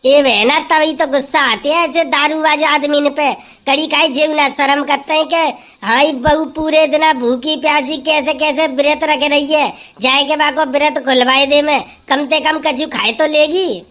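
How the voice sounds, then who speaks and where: A woman's voice: high voice, fairly even intonation, measured pace, fairly bright tone. A woman voicing a sensible village sister-in-law who consoles and advises in a comic sketch. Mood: consoling.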